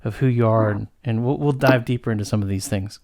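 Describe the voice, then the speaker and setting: A man's voice: on the low side, quick pace, creaky, darkish tone, dry recording. Casual male host, close dry sound. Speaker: interview host, company founder. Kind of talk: casual conversational interview hosting. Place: remote video call from Texas.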